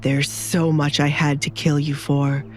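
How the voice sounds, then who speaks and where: A woman playing a cold, commanding duke in an audio drama, speaking slowly and deliberately with calm menace. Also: deep voice, faint music behind, pitched below the performer's own voice. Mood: cold threat. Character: cold, menacing young duke, calmly threatening man.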